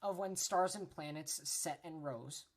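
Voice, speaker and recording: A woman's voice: low-pitched, a little slow. A woman hosting a casual educational podcast, chatty and informal, often tagging her points with "right?", correcting herself, and apologizing for her pronunciation. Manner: chatty, tags lines with "right?", frequent self-corrections. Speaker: podcast host.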